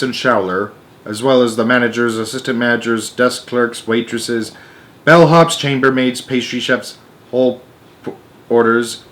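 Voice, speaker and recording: A man reads a children's book as a storyteller. His mid-range voice is expressive and deliberate, leaning on key words and sometimes stumbling. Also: mid-range voice.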